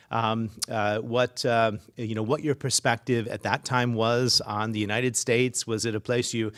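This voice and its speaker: A man's voice: mid-range voice, a bit bright. A man, a city mayor, hosting a live civic talk show and interviewing a staff member, earnest and full of small fillers and restarts.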